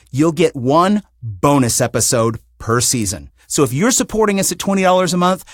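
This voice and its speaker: A man hosting a podcast, reading a scripted announcement in a polished, deliberate presenter's manner. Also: a little high, no room sound, studio quality. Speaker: podcast host and show creator.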